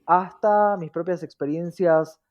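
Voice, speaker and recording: A man's voice: high voice, fairly even intonation, slow pace, highs cut off. An Argentine man speaking earnestly and reflectively as an interview guest, often checking in with short tag questions. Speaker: interview guest, diversity activist.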